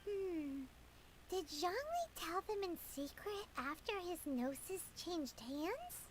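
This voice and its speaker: A tiny fairy sidekick with an extremely high, squeaky little voice that bounces around excitably. It is chirpy and inquisitive, in cartoonish game style, over loud noise. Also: female voice, slow pace, heavily acted. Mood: suspicious, musing. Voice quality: squeaky, childlike. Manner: talks of self in third person, exclamatory. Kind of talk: cartoonish video game voice acting.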